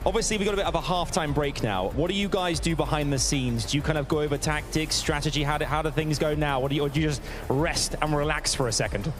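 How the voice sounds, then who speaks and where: A man hosting a live esports event on stage, interviewing in a showy, playful way over loud music. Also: a little high, quick pace. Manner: showy, playful, addresses a crowd.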